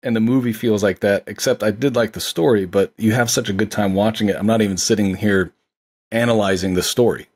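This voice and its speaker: A man with a lowish, rough-edged husky voice talks casually as a podcast co-host. He discusses films conversationally, eager to agree and build on the point. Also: steady tone, a little fast, darkish tone. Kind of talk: casual film discussion chat.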